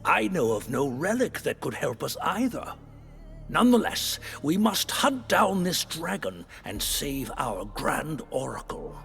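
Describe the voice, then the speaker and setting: A man with a gravelly voice playing a wry, loyal counsellor in a video game cutscene. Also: fairly high pitch, very animated, measured pace, a bit bright, faint music behind, heavily acted. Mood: wry, supportive.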